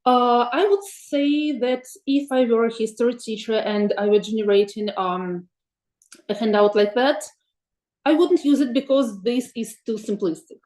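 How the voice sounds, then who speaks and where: A woman's voice: fairly high pitch, a little slow, a little mellow. Woman, webinar presenter, hesitant and friendly delivery, roomy sound. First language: Russian. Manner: thoughtful, many ums and uhs, asks for next slide. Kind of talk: presenting slides in an online webinar.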